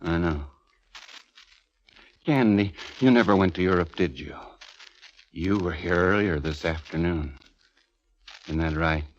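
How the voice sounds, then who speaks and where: Deep, unstable, grandiose composer, old radio. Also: male voice, unhurried, pauses often, a little hiss or hum. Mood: resigned, ominous. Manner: theatrical, rhapsodic, swelling delivery. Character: unstable, grandiose, morbid male symphony composer. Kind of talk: 1940s radio drama acting. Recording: dull vintage radio recording, music behind.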